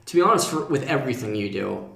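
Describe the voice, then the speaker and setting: A man's voice: mid-range voice, lively intonation, fast, creaky, a bit bright. A man co-hosting a podcast, speaking casually in a panel discussion. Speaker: podcast co-host. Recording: echoey room sound.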